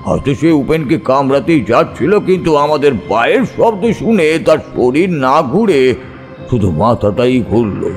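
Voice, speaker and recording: A man narrating a scripted ghost story in a suspenseful, expressive, dramatic manner. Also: mid-range voice.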